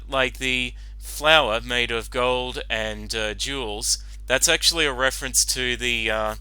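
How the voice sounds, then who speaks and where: A man's voice: medium pitch, bright, forceful tone, clear, resonant tone, dry recording, low-quality recording. A man giving casual, off-the-cuff reaction commentary in a rambling, hesitant manner.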